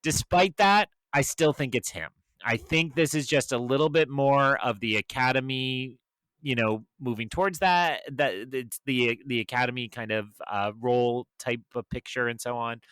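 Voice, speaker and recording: A man's voice: medium pitch, normal speed, fairly bright tone, clean tone, no room sound. Male podcast panelist, conversational and opinionated, mid-range voice, dry close recording. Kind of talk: casual awards discussion.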